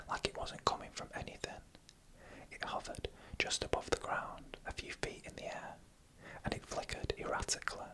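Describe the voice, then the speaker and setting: A narrator reading scripted stories in an ASMR style, in a breathy, unvoiced whisper with hushed storytelling delivery. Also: rough recording.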